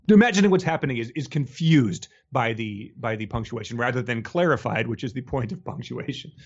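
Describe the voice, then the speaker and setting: A slightly dull, lo-fi recording of a man co-hosting a comedy podcast. His voice is husky and a little higher than average, and he talks casually and haltingly, doubling back on words. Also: lively intonation. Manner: halting, often repeating words. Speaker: podcast co-host. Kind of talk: casual comic book discussion.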